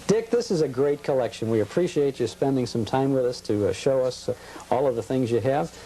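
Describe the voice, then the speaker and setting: A man's voice: medium pitch, lively intonation, a little fast, warm tone. A man hosting a casual television interview as host and interviewer, on a noisy old tape. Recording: noisy deteriorated cable TV tape.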